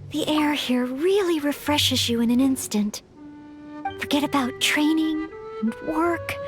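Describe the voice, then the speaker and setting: A woman voicing an earnest, modest young maid who hopes to be a knight, polite and gentle, in a video game. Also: high-pitched. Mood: serene. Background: game music.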